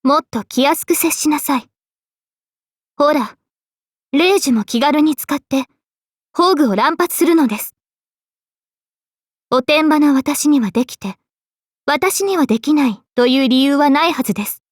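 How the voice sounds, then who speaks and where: A woman voicing a gentle, dignified young female knight in a game, speaking politely and with composure. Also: high voice, lively intonation, a little slow, long pauses, no echo, clean studio sound. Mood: gently chiding. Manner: polite, composed, formal speech. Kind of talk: scripted game character voice lines.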